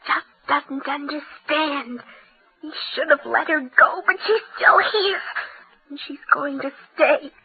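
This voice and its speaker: Very high-voiced, sly little girl. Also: slow pace, soft background music. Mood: seething hatred. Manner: meek and childlike with parents, seething when alone. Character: sly, jealous young daughter, sweetly obedient but secretly hateful. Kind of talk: old-time radio drama acting. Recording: muffled, narrow-band old radio recording.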